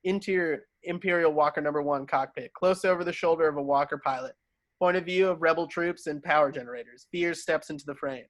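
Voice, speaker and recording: A man's voice: fairly high pitch, fairly quick. A man reading screenplay stage directions as narrator of a table read.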